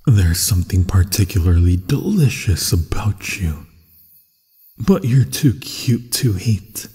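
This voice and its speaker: A man's voice: deep voice, lively intonation, takes it slowly, warm tone, husky, full character voice. A man voicing a hungry, possessive werewolf in an ASMR fantasy roleplay, savoring his words seductively and often slipping into whispers. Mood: possessive, predatory. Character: hungry, possessive male werewolf, menacing yet infatuated. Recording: long cavernous reverb.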